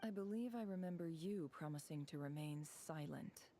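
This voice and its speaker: A woman voicing a ruthless, bitter desert tribeswoman in a video game, speaking in a cold, deliberate, contemptuous way. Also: deep voice, mellow, a little hiss or hum, lightly acted. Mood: guarded, wry. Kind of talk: dramatic video game voice acting.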